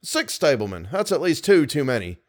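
A man's voice: on the high side, sing-song, fairly quick. A man voicing an irritable, stubborn head riding master in a story, dry and insistent.

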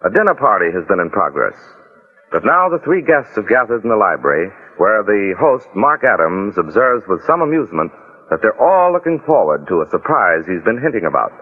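A man's voice: fairly low pitch, big pitch swings, fairly quick, slightly rough. A man announcing and narrating a 1940s radio drama in a formal, declamatory style. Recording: tinny 1940s radio recording with loud music. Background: loud music.

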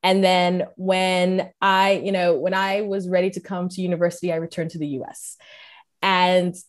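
A woman's voice: fairly low pitch, flat delivery, moderate pace. A woman in her thirties with an American accent, chatting as a podcast guest, laughing often and poking fun at herself. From United States and Cameroon. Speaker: podcast guest, career counselor. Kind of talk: casual interview conversation.

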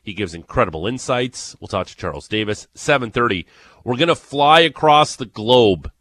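A man with an Ontario accent hosting a morning sports radio show, chatting and teasing with excitable energy. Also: medium pitch, moderate pace. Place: radio studio. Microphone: studio microphone.

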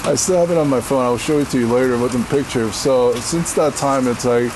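A man from Korea with a deep voice, answering interview questions in a rambling, casual way with many fillers. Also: brisk, noisy background. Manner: rambling, filler-heavy, laughs easily. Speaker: interview guest.

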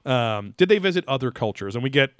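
A man's voice: mid-range voice, big pitch swings, quick pace, no room sound, studio quality. A man in his thirties hosting a casual recap chat, rambling and swearing freely, sometimes reading quotes aloud theatrically. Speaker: podcast host.

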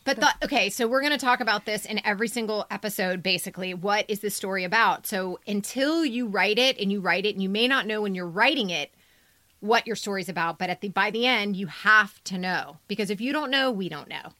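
Bright-voiced woman co-hosting a podcast. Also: mid-range voice, a little fast, no echo. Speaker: podcast co-host and story editor. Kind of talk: conversational podcast commentary.

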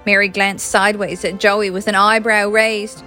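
A woman's voice: mid-range voice, little pitch movement, moderate pace, sharp tone, soft background music. A woman from Canada, reading scripted story narration aloud. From Newfoundland, Canada. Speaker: author reading own chapter aloud.

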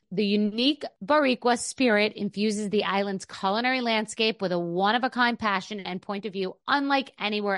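A woman's voice: medium pitch, a bit bright, no room sound. A woman with a mid-range voice reads an advertisement. Her read is friendly and personal, and the sound is close and dry. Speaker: ad reader.

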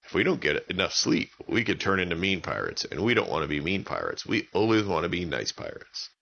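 A man's voice: sits low, big pitch swings, fairly quick, gravelly, bright, forceful tone, highs cut off, a little hiss or hum, heavily acted. A man voicing a kindly, cheerful pirate captain in a children's story, with earnest, simple, repetitive lines. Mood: gently instructive. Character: kindly, cheerful pirate captain looking after his crew.

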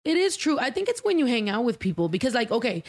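A woman's voice: a little high, very animated, quick pace. A woman singer chatting casually as a host, slipping between English and Spanish and swearing freely. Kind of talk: casual chat.

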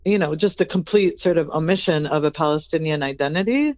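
An earnest chef talks through a long interview answer with thoughtful pauses and fillers. The voice is smooth and clear. The recording sounds a little dull and lo-fi. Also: medium pitch, darkish tone. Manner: earnest, thoughtful, frequent "you know" fillers.

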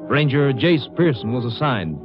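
A dull old radio recording with prominent music under the voice. An American man of medium pitch reads scripted narration in 1950s crime drama style. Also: lively intonation, moderate pace.

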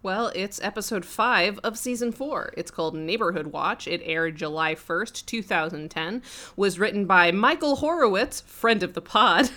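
A woman co-hosting a podcast in playful, mock-dramatic banter, her register deepened by a cough and pushed out a little like a radio DJ. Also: moderate pace, pushed and bright. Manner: radio DJ-style projection, powering through sentences. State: has a cough. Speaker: podcast co-host. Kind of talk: casual comedic podcast banter.

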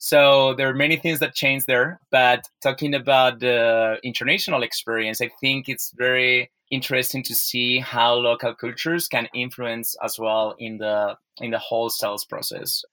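A man's voice: medium pitch, level tone, sharp tone. A man from Spain with a Spanish accent, a podcast guest speaking English, often restarting his sentences. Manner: halting, with repeated restarts. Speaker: guest, software sales executive.

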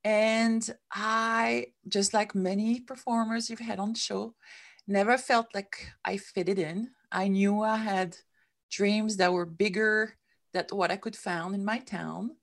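A woman's voice: medium pitch, fairly even intonation, takes it slowly, gravelly. A middle-aged woman from Switzerland, a guest telling her story in a candid interview, speaking English as a with small grammar slips. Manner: reflective, with some non-native grammar slips.

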